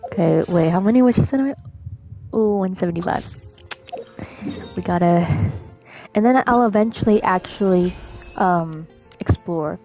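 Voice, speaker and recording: A woman's voice: on the low side, a little slow, gravelly, long pauses, warm tone, faint music behind. A woman game streamer chatting casually on a livestream, offhand and half talking to herself. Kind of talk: casual livestream chat, reading game lines aloud.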